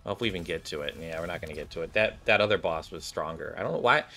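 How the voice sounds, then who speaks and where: A man's voice: deep voice, rapid-fire, creaky, bright, forceful tone. A man giving casual, musing gameplay commentary, thinking aloud as he goes. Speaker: game streamer reacting to cutscenes.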